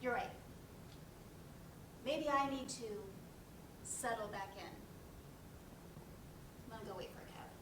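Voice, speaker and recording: A woman's voice: fairly high pitch, a little slow, pauses often, natural voice. A woman playing a grieving young woman in a play reading, weary, defensive and terse, with a sardonic edge. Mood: subdued. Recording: roomy, distant mic, noisy background.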